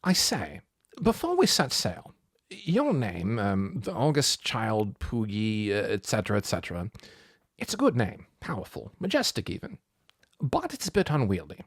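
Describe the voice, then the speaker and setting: A man voicing a polite, pedantic boy scholar in a storybook, wordy and old-fashioned in his gentlemanly phrasing. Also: lowish voice, huge pitch swings, moderate pace, husky, no echo, clean studio sound, heavily acted. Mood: hesitant, courteous. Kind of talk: storybook character voice.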